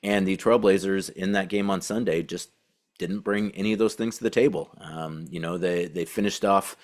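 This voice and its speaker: A man hosting a sports podcast with casual commentary, talking in a conversational, halting way and circling back to rephrase. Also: deep voice, fairly even intonation. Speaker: podcast host and team beat reporter.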